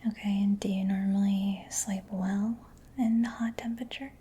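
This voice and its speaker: A woman whispering breathily in a gentle, reassuring, unhurried ASMR roleplay as a mechanic. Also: medium pitch, fairly even intonation. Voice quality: breathy whispering, mostly unvoiced. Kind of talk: soft-spoken ASMR personal attention roleplay.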